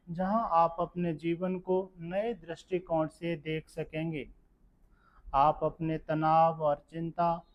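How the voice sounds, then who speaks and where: A man guiding a meditation session in a calm, measured, instructional manner. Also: a little high, dull audio. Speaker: yoga nidra meditation guide. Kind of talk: guided meditation narration.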